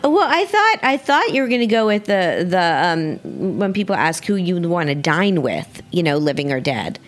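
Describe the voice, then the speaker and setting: A woman's voice: fairly low pitch, big pitch swings, moderate pace. A woman hosting a podcast in a conversational interview style. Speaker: podcast host.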